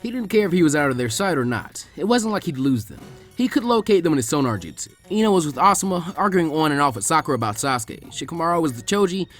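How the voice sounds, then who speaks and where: Male fiction narrator, expressive and quick, slightly high voice, faint music underneath. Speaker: narrator of fan fiction readings.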